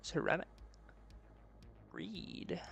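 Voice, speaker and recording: A man's voice: very slow, a bit bright, soft background music. A man casually thinking aloud on a live creative stream, muttering to himself in a playful, profane way. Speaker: streamer doing 3D art.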